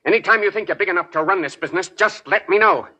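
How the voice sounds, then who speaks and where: An actor playing a bullying, domineering small-time crime boss in a 1940s radio drama. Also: male voice, high-pitched, fast, bright, forceful tone, full character voice. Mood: businesslike, commanding. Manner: needling, domineering, menacing. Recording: vintage 1940s radio broadcast.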